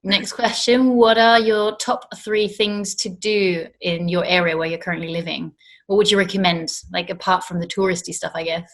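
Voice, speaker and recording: A woman's voice: on the low side, fairly even intonation, moderate pace. Upbeat woman hosting a video-call interview. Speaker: host of a travel interview series.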